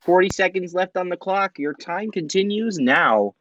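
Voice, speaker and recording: High-voiced male quizmaster. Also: expressive, muffled recording, a crowd in the background. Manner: brisk, formal quizmaster delivery. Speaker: quiz show host. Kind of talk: reading quiz questions.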